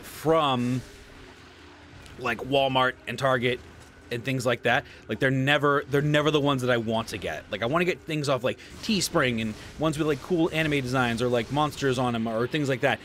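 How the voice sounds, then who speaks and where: A man's voice: medium pitch, moderate pace, fairly bright tone, a little hiss or hum. A man in his thirties chatting casually while playing a video game at home, a little stuffy-nosed and rambling. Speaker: gaming streamer. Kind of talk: casual gameplay commentary. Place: home room, seated at desk.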